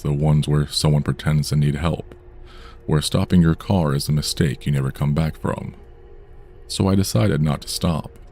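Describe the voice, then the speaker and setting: A man reading true scary stories aloud in a measured, somber storytelling delivery. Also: a bass voice, a little mellow. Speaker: horror story narrator. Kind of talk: scripted true-crime horror narration.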